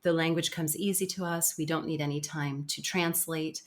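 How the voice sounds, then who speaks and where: An American woman speaking as an interview guest, earnest and emphatic, with an animated conversational delivery. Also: deep voice, moderate pace. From United States.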